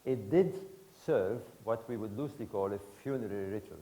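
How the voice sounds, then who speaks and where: A man in his sixties from Egypt, giving a public lecture to an audience in emphatic, rhetorical bursts of short phrases with pauses. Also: on the low side, warm tone. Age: 60s.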